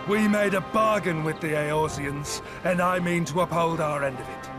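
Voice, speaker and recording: A bold, defiant pirate captain in a video game. His voice sits high and swings widely as he declaims with command. Music plays clearly behind him. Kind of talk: video game cutscene dialogue.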